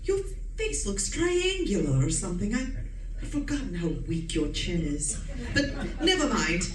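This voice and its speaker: Nagging, imperious wife for radio suspense female performer, very animated intonation, recorded at a distance with faint noise. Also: mid-range voice, a little slow, roomy sound, crowd noise. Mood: scornful. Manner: imperious, scolding, dismissive. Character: bossy, nagging, belittling wife who manages everything. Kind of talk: old-time radio suspense acting.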